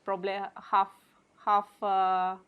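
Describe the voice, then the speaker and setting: A woman's voice: medium pitch, monotone, takes it slowly, smooth, phone-quality sound. Hesitant woman interviewer. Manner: friendly, hesitant with fillers. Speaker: interviewer host. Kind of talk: casual interview.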